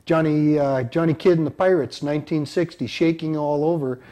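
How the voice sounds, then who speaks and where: A man in his fifties or sixties from central North Dakota, answering casually in an interview and often pausing mid-phrase. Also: on the high side, warm tone. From central North Dakota, United States. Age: 50s to 60s. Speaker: band member being interviewed.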